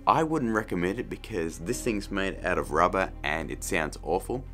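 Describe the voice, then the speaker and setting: A man's voice: low-pitched, expressive, normal speed, pushed and bright, faint music behind. A middle-aged man presenting a casual scripted tutorial, chatty and jokey. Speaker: video host.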